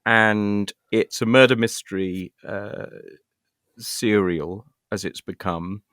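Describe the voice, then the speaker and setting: A man's voice: on the low side, fairly bright tone, no echo, clean studio sound. A middle-aged man explaining his work conversationally as an interview guest, often repeating words as he searches for the next one. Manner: halting, stammered repetitions as he searches for words. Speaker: interview guest, actor and writer.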